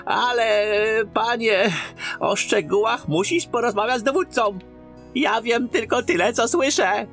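A man acting an obsequious, gossiping innkeeper in a fantasy audio drama, with a fawning, halting manner. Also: extremely high pitch, fairly bright tone, faint music behind, full character voice. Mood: anxious, conspiratorial. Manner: fawning, halting, drawn-out delivery.

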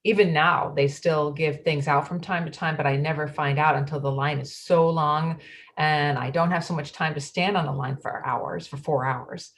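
Lady playing a fed-up local, low voice, plain aggrieved talk. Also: fairly even intonation, moderate pace, natural voice. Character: frustrated adult female neighborhood resident. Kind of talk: readers theatre monologue.

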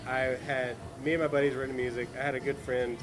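A man's voice: medium pitch, level tone, fast. A man with a Valdosta Southern accent talking casually in an easygoing, rambling way. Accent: Southern US, Valdosta Georgia. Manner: easygoing, rambling with fillers and restarts. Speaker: guest author and musician. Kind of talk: casual chat.

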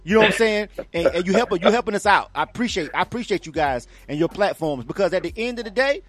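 A man's voice: sits high, lively intonation, fast talker, fairly bright tone, no room sound, soft background music. A man co-hosting a radio call show, talking in a casual, emphatic, slangy way with repeated words and free swearing. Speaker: radio program director, co-host.

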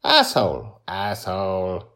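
A man's voice: low-pitched, wildly swooping pitch, slow pace, sharp tone, full character voice. A man voicing a stubborn, inquisitive nine-year-old girl in a story, with an insistent, questioning manner. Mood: puzzled. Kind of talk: acted storytelling dialogue.

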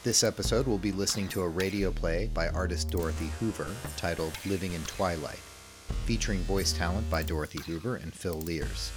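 A man's voice: sits low, a little fast. A man reading a scripted programme introduction as the announcer. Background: music.

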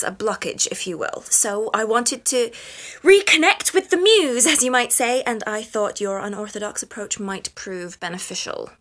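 An actress playing a bookish doctoral student in a radio drama, with a pompous, precise, pedantic manner. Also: female voice, mid-range voice, bright, forceful tone. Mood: formal, intrigued.

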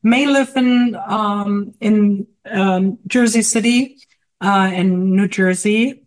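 A woman's voice: medium pitch, slow, darkish tone. A middle-aged woman from Germany with a German accent, talking casually about herself as a guest, with frequent hesitations. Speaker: guest artist and teacher. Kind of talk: casual autobiographical interview talk.

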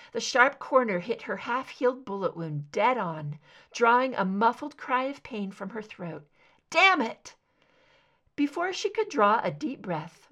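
A woman reading a scripted story aloud as an amateur audiobook narrator. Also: medium pitch.

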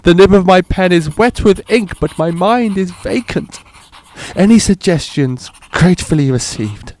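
A man's voice: high-pitched, animated, unhurried, a little mellow, pitched above the performer's own voice. A man voicing a pompous, dim male playwright reading his letters aloud. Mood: earnest. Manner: theatrical letter reading, drawn out.

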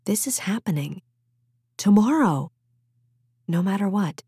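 A practical teenage girl, played by a woman narrator speaks frankly and decisively, gentle but firm with a teasing streak. It is audiobook dialogue, recorded close and dry. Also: medium pitch, expressive, a little slow, husky, no room sound.

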